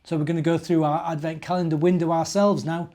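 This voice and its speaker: A middle-aged man, a minister, talking and reading to young children in an expressive, simple storytelling way. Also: on the high side, quick pace. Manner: expressive, simple child-directed delivery with rhetorical questions. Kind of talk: children's storytelling and gentle chat.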